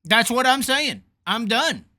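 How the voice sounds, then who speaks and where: A man's voice: extremely high pitch, a little slow. A man hosting a sprint car racing call-in show, talking in a fired-up, repetitive, emphatic way. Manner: animated, repeats himself, fired up and emphatic. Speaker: podcast host. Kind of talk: casual opinionated call-in chat.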